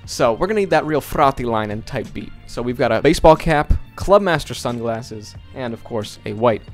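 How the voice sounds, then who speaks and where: A man's voice: medium pitch, normal speed, faint music behind. Chatty young man, Finnish native speaker. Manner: chatty, jokey, animated. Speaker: video creator. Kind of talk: casual tutorial vlog. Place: small bedroom. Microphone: handheld dynamic mic close up.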